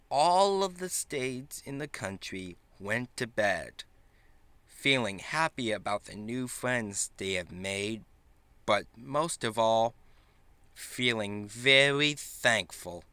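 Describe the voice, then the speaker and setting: A man reading a children's picture book aloud in a halting, deliberate way, with small stumbles. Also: medium pitch, animated, sharp tone, clear, resonant tone, no echo. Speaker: storybook reader.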